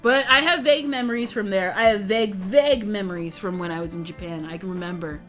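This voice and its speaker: A woman's voice: mid-range voice, quick pace, fairly bright tone, soft background music. A young woman streamer chatting casually, rambling, self-interrupting and often giggling. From United States. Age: young adult.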